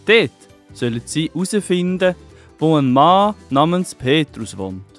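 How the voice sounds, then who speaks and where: A woman voicing a solemn angel messenger in a children's audio drama, commanding and slow. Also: sits low, sing-song, faint music behind, pitched below the performer's own voice, exaggerated voice.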